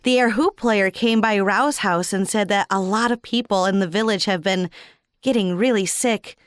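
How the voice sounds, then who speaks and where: A woman's voice: medium pitch, normal speed, no room sound, clean studio sound, close to an everyday voice. A woman playing a laid-back traveler confiding in a friend, in a casual, conversational audio drama style. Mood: quietly concerned.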